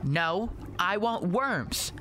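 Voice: mid-range voice, animated, very slow. An actor playing an earnest, curious and stubborn little boy in a radio play, speaking in blunt, literal childlike answers over noisy ambience. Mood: eager. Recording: noisy outdoor ambience, boat sounds behind.

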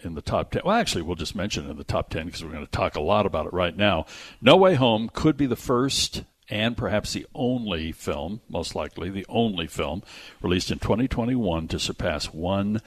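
A man's voice: low voice, lively intonation, moderate pace, dry recording. A man hosting a radio show, chatting casually about movie news in a jokey, exaggerating way. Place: radio studio. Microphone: close studio microphone.

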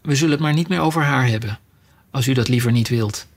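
A man's voice: medium pitch, fast talker, natural voice. A man voicing a polite, chatty young girl who rambles eagerly and apologizes.

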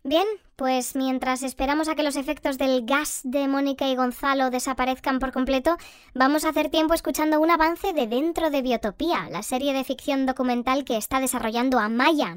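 Female radio host shrunk to half size, played by an actress: high, small voice, courteous, bemused, hesitant when puzzled, close dry sound. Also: clean tone, dry recording, close to an everyday voice. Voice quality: small, squeaky little voice. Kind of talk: Spanish audio fiction radio phone-in.

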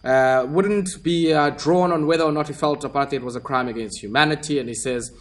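A man hosting a radio talk show and pressing a guest with questions, steady with frequent fillers. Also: fairly high pitch, a little fast. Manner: probing, steady interviewer with fillers.

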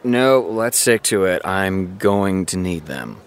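A weary creator of a television series in a fiction podcast. His voice sits fairly low but varies in pitch. He is deadpan, reluctant and drily sarcastic. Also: slow, clean tone. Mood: dry, weary. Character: dry, weary male creator of hit vampire TV show. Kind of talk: naturalistic audio drama acting.

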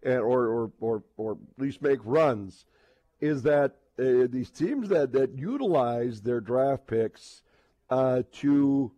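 A man hosts casual sports radio talk in a mid-pitched voice with a dry, close sound. He talks haltingly, circling and repeating words as he works up to his point. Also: expressive, warm tone, no echo.

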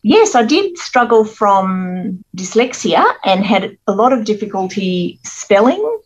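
A middle-aged Australian woman, a guest author telling her life story in an earnest, measured interview manner. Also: medium pitch, very animated, lo-fi sound.

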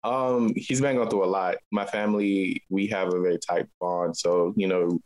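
A man's voice: low-pitched, fairly even intonation, moderate pace, a little mellow, poor audio. A man from Liberia, a local artist, answering interview questions in a conversational way. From Liberia, West Africa.

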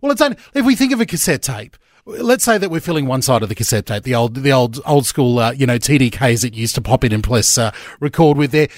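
A man's voice: medium pitch, big pitch swings, fast, dry recording, clean studio sound. A man hosting a breakfast radio show, chatting casually with lots of fillers. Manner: chatty, upbeat, many fillers. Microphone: studio microphone.

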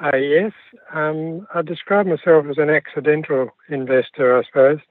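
A man's voice: a little high, steady tone, moderate pace, no room sound. An older Australian man, a guest, recalling his life in a hesitant way, with many ums and restarts, heard over a phone. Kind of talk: relaxed recollection of his life. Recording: dry, tinny phone line.

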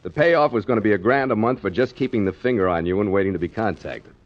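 A man's voice: lowish voice, quick pace, lightly acted. An actor playing a sly, cocky sailor schemer in 1940s radio drama, with slangy, needling tough-guy talk on a muffled old recording. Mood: scheming, persuasive. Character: sly, cocky waterfront schemer, a sailor angling to join a ship.

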